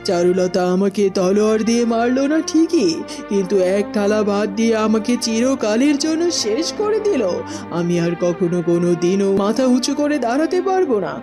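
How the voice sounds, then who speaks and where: A woman's voice: fairly high pitch, very animated, brisk. A woman playing a proud queen sunk in defeat and shame, with a dramatic, bitter delivery in a cartoon. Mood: ashamed, crushed. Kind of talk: melodramatic cartoon dialogue. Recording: faint music behind.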